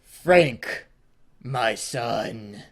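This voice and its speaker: A man's voice: medium pitch, lively intonation, very slow, full character voice. A man voicing a grieving, flustered mother in a melodramatic way.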